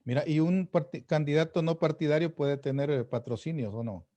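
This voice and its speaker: A Salvadoran-accented man with a moderately high voice, working as an interviewer. He puts questions in an informal, conversational way, cutting in with short follow-ups. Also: brisk, dark tone. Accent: Salvadoran Spanish. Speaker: host, interviewer.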